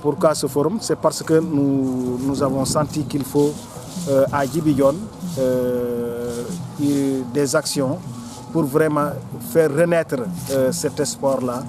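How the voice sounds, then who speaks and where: A man with a Senegalese accent giving an interview answer as a local organiser, speaking haltingly and repeating words as he searches for phrasing. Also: mid-range voice, fairly even intonation, noisy background. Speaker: local official or forum organiser.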